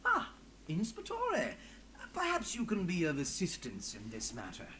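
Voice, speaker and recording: A sly underworld bard for a fantasy video game. His delivery is florid and teasing, leaping about in pitch with theatrical flair. Faint noise sits behind the voice. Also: huge pitch swings, measured pace, bright, forceful tone, full character voice. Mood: smooth, mocking. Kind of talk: scripted video game acting.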